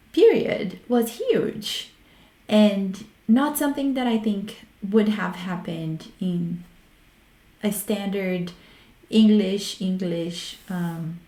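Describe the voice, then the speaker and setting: A woman's voice: medium pitch, warm tone. Brazilian-accented woman hosting, echoey room. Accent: Brazilian Portuguese. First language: Portuguese. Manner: reflective, frank, halting with restarts. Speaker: host. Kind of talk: solo talk on research methods. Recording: roomy echo.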